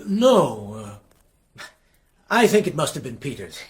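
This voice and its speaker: Actor as a husky, breezy, evasive husband. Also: male voice, mid-range voice, big pitch swings, takes it slowly, heavily acted. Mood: casual evasion. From New York. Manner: offhand, dismissive, brightly reassuring. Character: evasive, breezy American husband in a ghost story. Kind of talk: radio play acting.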